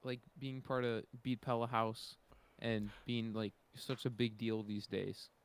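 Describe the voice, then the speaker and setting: A man chatting casually as a podcast panelist, agreeable and quick to concede a point. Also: mid-range voice, little pitch movement, clear, resonant tone. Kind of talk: casual chat.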